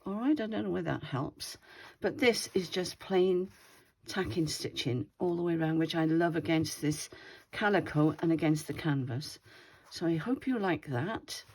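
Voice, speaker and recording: A woman's voice: sits low, normal speed, a little mellow, slightly rough. An older woman with a slightly wheezy voice, chatting casually as she shows her craft project at home. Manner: chatty, meandering, self-correcting. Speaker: craft video host. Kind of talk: casual show-and-tell chat.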